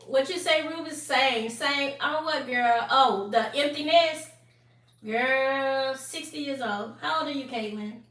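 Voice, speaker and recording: A woman's voice: sits high, slow, a bit bright. A woman in her forties chatting casually with her viewers on a live stream, talking straight to them and laughing often. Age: 40s. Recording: echoey room, mic at a distance, faint noise.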